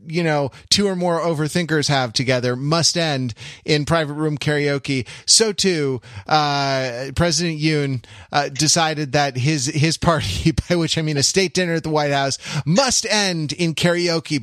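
A man's voice: fairly high pitch, fairly bright tone, dry recording, studio quality. A man hosting a podcast in casual comedic chat, with a jokey, mock-serious way of talking. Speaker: podcast host.

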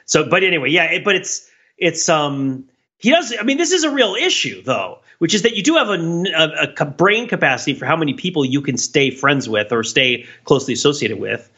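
Male podcast co-host, higher-pitched and lively, casual but analytical, on slightly dull lo-fi audio. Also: fairly quick, breathy edge. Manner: animated, voices imagined remarks as examples.

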